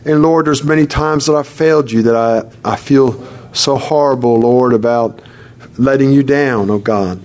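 A man preaching to a congregation and praying aloud fervently, calling on the Lord again and again and reading scripture slowly. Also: medium pitch, a little mellow. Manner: fervent, repeats "Lord" often; reads scripture slowly and deliberately. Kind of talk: sermon and spoken prayer. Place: church service with congregation responding.

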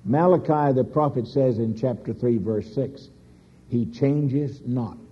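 A man preaching a sermon slowly and emphatically, with dramatic pauses and sudden rises in pitch. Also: husky. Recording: dull lo-fi recording.

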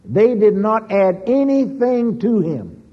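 Slow, husky-voiced male preacher. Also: sing-song. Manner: slow, emphatic preaching with dramatic pauses and swings in pitch. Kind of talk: sermon. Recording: dull lo-fi recording.

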